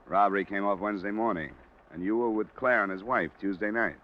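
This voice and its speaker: An actor playing a tough, steady insurance investigator with a clipped, matter-of-fact manner in a 1950s radio detective drama. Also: male voice, lowish voice, brisk, natural voice. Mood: pressing. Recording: muffled, noisy vintage radio recording.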